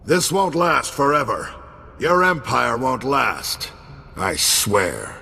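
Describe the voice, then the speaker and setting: A defeated but proud military commander in a video game. He speaks slowly and gravely, with wide swings of pitch. The sound has a long reverb and some music. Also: animated, bright, forceful tone, full character voice. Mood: bitter, defiant. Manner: slow, grave, pleading. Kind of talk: dramatic video game dialogue.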